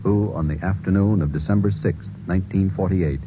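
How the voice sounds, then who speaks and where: Deep, solemn American radio announcer, male. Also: a little fast, background noise. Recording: dull old radio broadcast with music.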